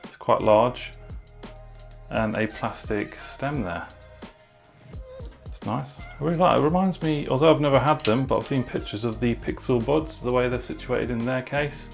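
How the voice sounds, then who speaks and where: A man's voice: mid-range voice, moderate pace, gravelly, faint music behind. A man talking casually through a product unboxing and review in an informal way, with short asides.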